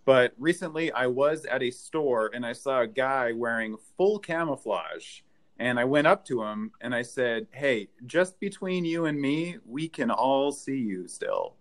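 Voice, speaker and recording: A man in his thirties delivers deadpan stand-up one-liners into a webcam from his living room. His voice sits at an ordinary middle pitch and speaks evenly. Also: unhurried. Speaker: stand-up comedian. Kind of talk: stand-up set. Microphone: webcam, video call framing.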